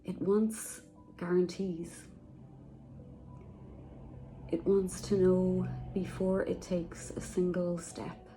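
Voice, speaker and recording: Slow, soft-spoken woman meditation guide. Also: lowish voice, flat delivery, soft background music, rough recording. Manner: slow, soft, soothing, with long pauses.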